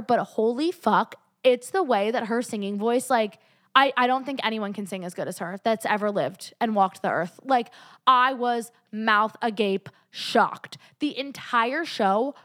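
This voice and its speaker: A woman's voice: a little high, fairly bright tone. A young woman hosting a casual solo podcast, stuffy from a cold, talking in a chatty, gushing way. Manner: chatty and gushing, heavy use of "like". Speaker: podcast host.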